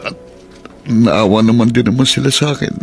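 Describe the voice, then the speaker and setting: Actor as gravelly, heartbroken Filipino father. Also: mid-range voice, moderate pace, lightly acted. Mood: pained. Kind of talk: Filipino radio drama acting. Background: background music.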